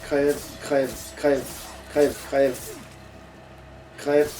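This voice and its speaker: A man's voice: medium pitch, flat delivery, extremely slow, mellow. A man giving casual gaming commentary, grumbling and swearing to himself and at the game as he plays. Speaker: let's play streamer playing the game. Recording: distant mic with game music behind.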